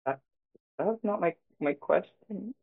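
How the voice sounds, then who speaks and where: Brief: insistent Trinidadian man, higher voice that swings a lot, muffled recording. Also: expressive, measured pace, gravelly. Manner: insistent, repeats himself. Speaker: podcast co-host. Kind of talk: casual chat.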